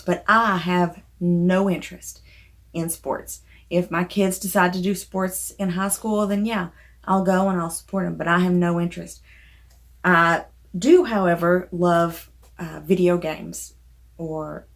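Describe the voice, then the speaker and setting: A woman in her thirties with a Southern accent and a mild trouble with the letter R, chatting casually to the camera in a rambling, self-deprecating way. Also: fairly low pitch. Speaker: novelist vlogger.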